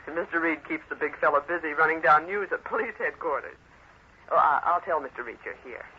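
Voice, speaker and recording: An actress playing a brisk, sharp-tongued young secretary in an old-time radio drama. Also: female voice, sits low, lightly acted. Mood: polite, pleasant. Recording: muffled, noisy vintage radio recording.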